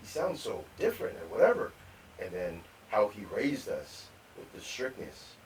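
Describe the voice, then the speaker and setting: A man co-hosting a live podcast, telling rambling stories about growing up, with repeated words and animated quotes. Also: on the low side, moderate pace, a little hiss or hum. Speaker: podcast co-host. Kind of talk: storytelling in casual chat. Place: live podcast recording venue. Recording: distant mic with faint room ambience.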